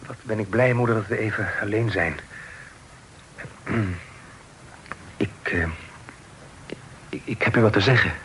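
A man playing an earnest, courteous young gentleman in a radio drama, speaking politely and persuasively. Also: on the low side, measured pace, long pauses. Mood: tentative, earnest. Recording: noisy old radio drama recording.

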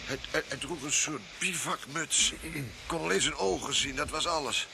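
A flustered, self-important police inspector in a radio play. His voice sits fairly high and he stammers and blusters. Clear noise lies over the old recording. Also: normal speed. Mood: dazed, groaning. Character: pompous, flustered male police inspector, easily indignant. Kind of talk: Dutch radio crime drama acting.

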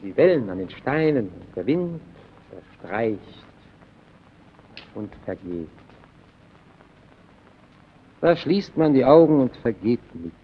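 Slow, brooding lighthouse keeper. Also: male voice, medium pitch, sing-song, phone-quality sound, a little hiss or hum. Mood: hushed, haunted. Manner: slow, halting, intense, swelling and sinking to near murmur. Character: brooding solitary male lighthouse keeper, haunted by the sea. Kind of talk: German radio play acting.

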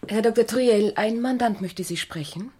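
A woman's voice: a little high, normal speed, dark tone, husky. A woman acting a formal, businesslike secretary at a law office in an eighties radio crime comedy. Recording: noisy 1980s archive radio recording.

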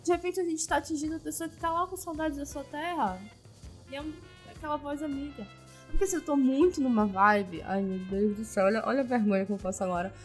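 A woman podcaster chatting casually in an animated, self-deprecating way. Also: high voice, fast talker, faint music behind.

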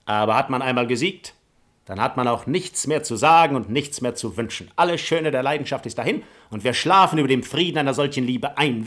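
A man's voice: on the high side, a bit bright, natural voice. An actor playing an arrogant, seductive nobleman in a classical radio play, eloquent, theatrical and self-assured. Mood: smug, seductive.

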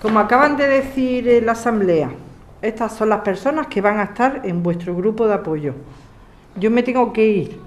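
A woman plays a brisk activist addressing neighbours in a radio drama. Her voice is fairly high, in an echoey room with faint noise. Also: lightly acted. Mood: businesslike. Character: Alicia, brisk woman explaining a housing case. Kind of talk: amateur radio drama acting.

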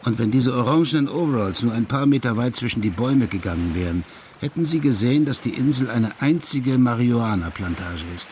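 A man's voice: medium pitch, lively intonation, fairly quick, darkish tone, close to an everyday voice. Casting: man to play ironic ex-cop in exile, radio crime drama, mid-range voice, easy storytelling manner, light noise behind. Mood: amused storytelling. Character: Dutch ex-police detective, wry laid-back man in exile.

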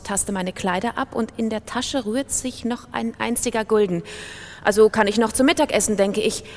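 A woman's voice: on the high side, darkish tone, close to an everyday voice. A woman playing a ruined, obsessive gambler clinging to hope in a literary radio monologue, fervent and emphatic. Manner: fervent, emphatic, self-persuading. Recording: long reverberant hall.

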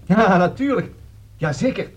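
An actor playing an eager, obliging sales agent on the telephone in a radio play. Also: male voice, high-pitched, expressive, a little slow, muffled recording, a little hiss or hum. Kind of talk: 1960s radio play acting.

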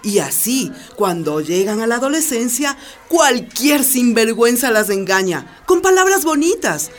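An actress playing an assertive, sharp-witted school principal, firm, sardonic and articulate in a radio drama. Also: female voice, on the high side, very animated, a little slow, faint music behind.

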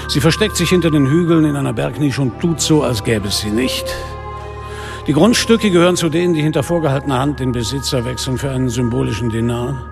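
An actor playing a world-weary, bitter police inspector who narrates a radio drama in a grave, sardonic manner. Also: male voice, mid-range voice, a little fast, close to an everyday voice. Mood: bitter, observant. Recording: long reverb, clear music behind.

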